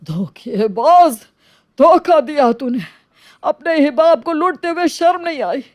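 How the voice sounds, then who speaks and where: A woman's voice: high voice, animated, slow, a little mellow, no room sound, pitched above the performer's own voice. A woman voicing an elderly father in a moral story, hurt and remorseful, pleading with emotion. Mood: outraged.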